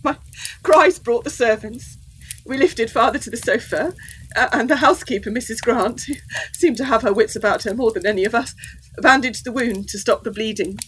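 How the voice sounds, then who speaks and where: An actress playing an anxious, refined young Victorian gentlewoman in a gothic audio drama, with dramatic delivery. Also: fairly high pitch, normal speed, a little hiss or hum, close to an everyday voice. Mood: shaken, distressed. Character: distraught, well-bred young Victorian gentlewoman, devoted daughter.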